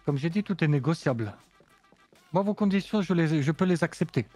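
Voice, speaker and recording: A man voicing a composed, businesslike supplier with a German accent, talking in measured sales patter. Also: on the high side, lively intonation, mellow, no echo, faint music behind. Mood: polite. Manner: smooth, measured salesman talk. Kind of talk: roleplay in character.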